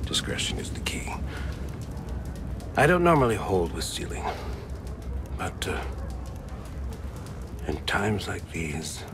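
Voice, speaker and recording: An actor playing a solemn, earnest rebel leader in a video game, measured and persuasive. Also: male voice, deep voice, very animated, noisy background, heavily acted. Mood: hushed confiding. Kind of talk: video game cutscene acting.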